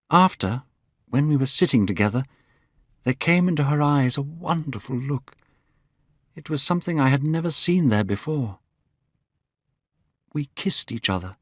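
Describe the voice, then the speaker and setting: A man voicing an eager, lovestruck young man in a spoken storybook, pitched high and gushing. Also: dry recording, clean studio sound, lightly acted.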